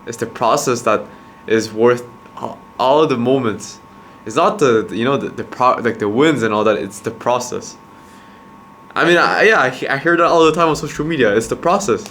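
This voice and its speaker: A man's voice: medium pitch, expressive, fairly bright tone, slight background noise. A young man from Quebec whose first language is Khmer, talking to camera in a casual, reflective monologue, restarting and repeating words as he thinks. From Quebec, Canada. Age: young adult. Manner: halting, many restarts and repeated words, earnest. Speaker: vlogger, entrepreneur.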